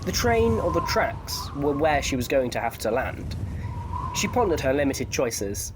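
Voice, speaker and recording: A man's voice: medium pitch, big pitch swings, a little slow, background noise. A man narrating a scripted story for an audio drama in a wry, playful storytelling manner.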